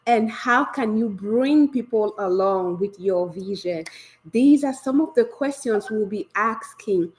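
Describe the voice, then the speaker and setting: A woman coach leads a live session with eager, encouraging energy. Her voice is a bit raspy from a cold coming on. She speaks at a middle pitch and peppers her talk with questions. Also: unhurried, low-quality recording. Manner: energetic, warm and encouraging, asks many questions. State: developing a cold. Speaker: leadership coach hosting a live series.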